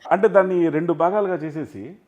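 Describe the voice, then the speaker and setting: A man's voice: on the high side, brisk, crowd noise. A man speaking as a film industry guest in an interview, often switching into English phrases. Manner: mixes English phrases into his talk. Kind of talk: animated interview talk.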